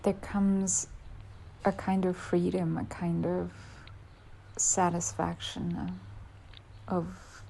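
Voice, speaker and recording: A woman guiding a yoga nidra meditation as a teacher, with soft, breathy phrase endings and unhurried pauses. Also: fairly low pitch, little pitch movement, slight background noise. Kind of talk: guided meditation.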